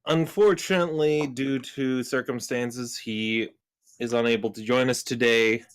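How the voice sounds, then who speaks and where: A man leading a tabletop game on a live stream as game master, halting and deliberate, with many pauses and fillers. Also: medium pitch, fairly even intonation, smooth.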